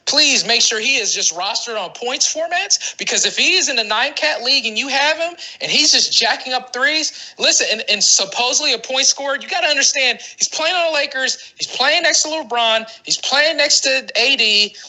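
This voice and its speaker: A man's voice: very high voice, animated, normal speed, hardly any pauses, pushed and bright, poor audio. Man co-hosting a casual sports podcast. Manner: rambling, emphatic, repeats himself for effect. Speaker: podcast co-host. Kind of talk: casual fantasy basketball podcast banter.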